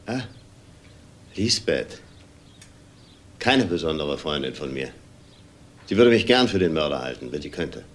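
Very deep-voiced, weary husband. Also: male voice, very animated, heavily acted. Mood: bitter. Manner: measured, deliberate, halting reflections. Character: weary, bitter unhappily married restaurant owner under suspicion. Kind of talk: naturalistic German TV crime drama acting.

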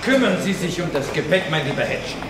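A man's voice: a little high, animated, brisk, lightly acted. An older man playing a pompous, imperturbable genius professor in a radio drama, formal, precise and condescending. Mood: brisk, bossy. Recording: echoey room, background noise.

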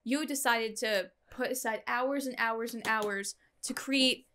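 High-voiced woman co-host, casual banter. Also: a little slow, pushed and bright, a little hiss or hum.

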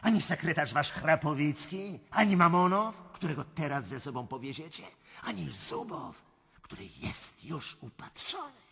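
An actor playing a passionate, jealous prince and imperial favourite in a theatrical radio drama. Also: male voice, on the high side, very animated, moderate pace, fairly bright tone, heavily acted. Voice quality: husky, gravelly. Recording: long reverberant hall sound.

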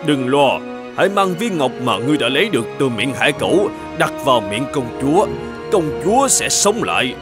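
A man performs a thousand-year-old eagle from a fairy-tale cartoon, proud and commanding. The delivery is highly animated. Faint music sits underneath. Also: brisk, close to an everyday voice.

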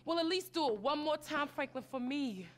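A woman's voice: high voice, animated, fairly quick. An actress playing a fed-up young American woman in a video game, blunt, firm and reproachful. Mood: scolding. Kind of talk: video game cutscene acting.

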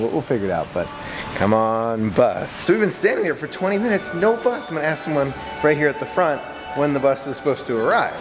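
A man in his thirties giving an enthusiastic walk-and-talk tour for a vlog, repeating words for emphasis, recorded on a camera held at arm's length. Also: mid-range voice. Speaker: vlogger. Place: resort lobbies and covered walkways at night. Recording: clearly noisy handheld on-location recording.

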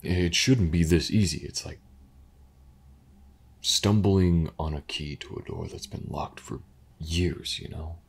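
A man playing a weary, skeptical detective in an audio roleplay, gruff but sincere, murmuring haltingly in a husky, gravelly voice. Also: sits low, heavily acted. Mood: uneasy, brooding. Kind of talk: audio roleplay acting, intimate and hesitant.

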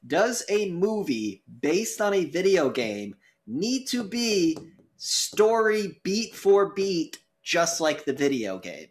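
Halting male podcast moderator. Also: high-pitched, very animated. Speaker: host and debate moderator. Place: indoor room.

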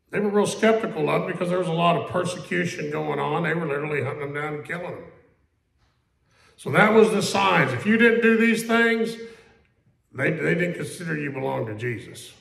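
A pastor preaching a conversational sermon in a church, asking questions, repeating them and quoting scripture slowly. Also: male voice, high-pitched, vocal fry, fairly bright tone. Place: church sanctuary. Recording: echoey church room.

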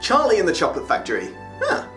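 A fast-talking young man narrates a scripted comedy review, his voice high and lively as it swings up and down. He is dryly sarcastic and breaks into mock outrage now and then. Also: loud music behind the voice. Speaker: video essay host.

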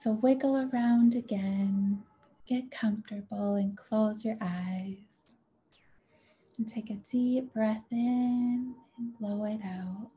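A woman leading a guided meditation in a gentle, soothing, unhurried way, with a soft, breathy voice that often nears a whisper. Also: medium pitch, steady tone. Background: music.

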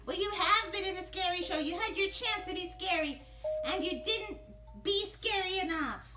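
A man voicing an excitable, childlike little ghost puppet in a children's puppet show, whiny, exclaiming and repeating himself. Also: very high-pitched, normal speed, roomy sound, loud music behind the voice. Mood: dismissive.

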